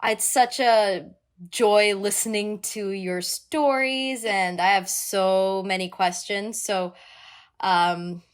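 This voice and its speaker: A woman's voice: medium pitch, fairly even intonation, takes it slowly, clean tone. A woman co-hosting a podcast, introducing her guest with gushing enthusiasm and then asking questions with frequent ums. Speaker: podcast co-host and interviewer.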